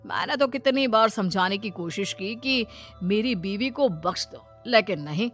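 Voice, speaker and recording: A scheming husband and son, acted by a woman storyteller, sly and persuasive. The delivery is slow and needling, with a bright edge and music underneath. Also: medium pitch, animated. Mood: sly sympathy.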